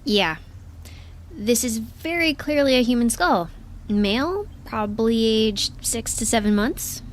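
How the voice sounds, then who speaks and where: A woman's voice: on the high side, expressive, measured pace. A woman playing an observant crew scientist in an audio drama, hesitant yet matter-of-fact, with an exasperated edge. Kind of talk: naturalistic audio drama acting.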